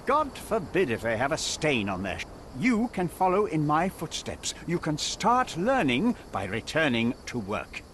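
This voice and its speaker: A man's voice: a little high, huge pitch swings, measured pace, fairly bright tone, slight background noise, exaggerated voice. An actor playing a stern, proud male archaeology professor who lectures and commands in a video game. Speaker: dig site leader.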